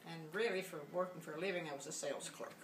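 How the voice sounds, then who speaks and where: A woman in her eighties introducing herself briskly and brightly in an interview. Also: sits low. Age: 80s. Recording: roomy echo, distant mic.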